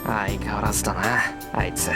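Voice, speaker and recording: A man's voice: lowish voice, level tone, racing, loud music behind the voice, natural voice. A man voicing a good-natured teenage schoolboy in a visual novel, speaking bluntly and informally. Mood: mild reproach.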